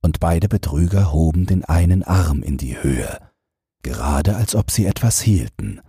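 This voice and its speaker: A man's voice: sits low, animated, measured pace, warm tone, breathy edge, no room sound, clean studio sound. A man narrating a fairy tale as a bedtime audiobook, reading from a script.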